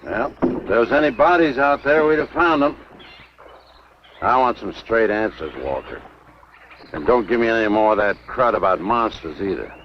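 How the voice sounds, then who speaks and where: A man portrays a gruff American country sheriff in an old monster film, low-voiced with lively swings. He is sarcastic, sceptical and short-tempered. The soundtrack is dull, with faint noise. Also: normal speed, close to an everyday voice. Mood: impatient. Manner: blunt, sarcastic, interrogating. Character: gruff, impatient, sceptical small-town sheriff. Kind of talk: 1950s B-movie acting.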